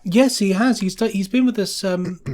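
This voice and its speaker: A man's voice: high-pitched, unhurried, darkish tone. Effusive man chatting on a podcast. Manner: effusive, rambling. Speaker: podcast guest, sound designer. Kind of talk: casual enthusiastic chat.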